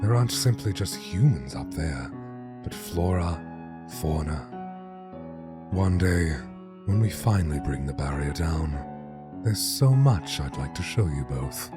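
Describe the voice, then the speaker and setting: A man's voice: a bass voice, lively intonation, unhurried. A man playing an obsessive, brooding skeleton scientist in a dramatic comic dub, with precise, formal diction. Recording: music behind the voice.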